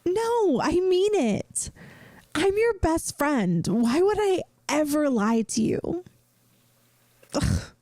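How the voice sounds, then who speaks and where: A woman playing a caring young best friend in a soft-spoken ASMR roleplay, gentle and earnest, hesitant at times, offering reassurance. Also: sits high, very animated, takes it slowly, pauses often, no room sound, studio quality, heavily acted. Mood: earnest, affectionate.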